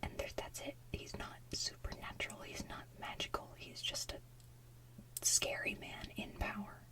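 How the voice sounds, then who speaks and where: A woman hosting ASMR, chatting casually in a soft whisper like an enthusiastic fan who rambles as she goes. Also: unhurried, far from the mic. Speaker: ASMR video host.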